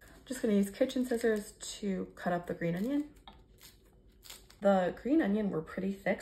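An American woman in her thirties with a creaky voice, chattily narrating her cooking step by step in a casual, informal way. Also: medium pitch, a little mellow, a little hiss or hum. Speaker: home cook vlogger. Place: home kitchen, indoors.

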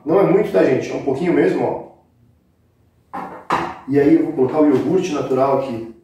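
A man's voice: a little high, a little fast, mellow. Echoey Brazilian man giving cooking instructions. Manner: informal, instructive, addressing viewers directly. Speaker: cooking show host. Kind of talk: casual step-by-step recipe demonstration. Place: indoor kitchen set with brick wall. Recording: very echoey room, distant microphone.

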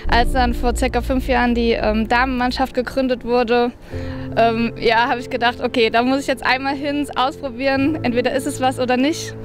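A woman's voice: on the high side, flat delivery, moderate pace, over music. A woman in her mid-twenties who plays football, telling how she came to the sport in a chatty way. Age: 20s. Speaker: amateur football player. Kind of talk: casual interview answers.

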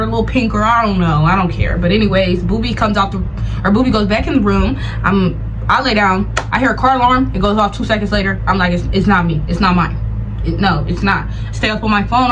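High-voiced American woman, slangy and animated. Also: background noise. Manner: slangy, animated retelling with acted-out asides and repeated check-ins. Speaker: vlogger telling a personal story. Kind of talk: casual storytime chat to viewers.

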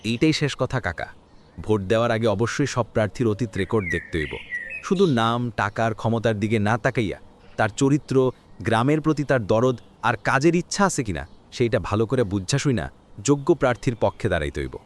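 A man's voice: mid-range voice, animated, fairly quick, lightly acted. A man with a rural Bangladeshi accent playing an earnest, respectful village voter in a cartoon, speaking emphatically. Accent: rural Bangladeshi Bengali. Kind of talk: cartoon voice acting.

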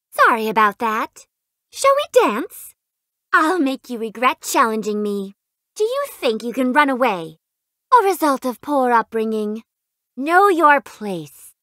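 A woman's voice: high voice, a bit bright, no echo, studio quality, exaggerated voice. A woman voicing a haughty, refined rich young lady in a fighting game, with a prim, imperious, theatrical delivery. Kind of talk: video game fight voice clips.

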